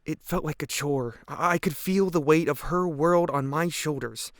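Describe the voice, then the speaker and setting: A man's voice: fairly high pitch, normal speed, dry recording, natural voice. A man acting a guilt-ridden, earnest teenage boy giving hesitant, reflective testimony in an audio drama. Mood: weary, guilty. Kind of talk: audio drama monologue, police interview testimony.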